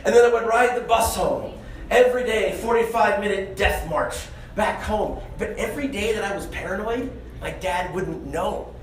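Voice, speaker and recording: A man's voice: high voice, normal speed. Echoey male comic storyteller on stage. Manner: animated, conversational, self-deprecating. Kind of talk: comedic stage storytelling to an audience. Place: live stage venue. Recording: echoey stage show, audible room noise.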